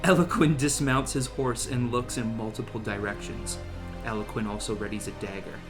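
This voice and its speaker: A man's voice: medium pitch, steady tone, normal speed, loud music behind the voice. A man reading stage directions aloud in a casual, jokey, theatrical table read. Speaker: podcast co-host reading a script's narration.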